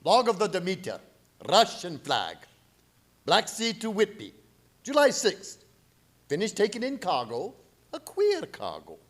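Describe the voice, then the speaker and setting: A grave, fearful sea captain acted by a man in a radio drama. His voice is high for a man and rises and falls widely as he gives clipped log entries. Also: huge pitch swings, a little slow, long pauses, faint music behind, heavily acted. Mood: brisk, formal. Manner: terse, telegraphic, dramatic delivery.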